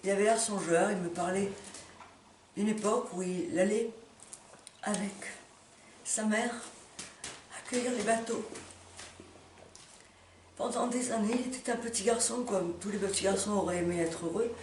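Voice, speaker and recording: A woman's voice: medium pitch, fairly even intonation, a little mellow. Woman speaking from afar in a roomy space. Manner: halting, emphatic, repeating words and phrases. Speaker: speaker giving a personal appeal. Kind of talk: impromptu impassioned monologue to camera. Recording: roomy, distant mic with background noise.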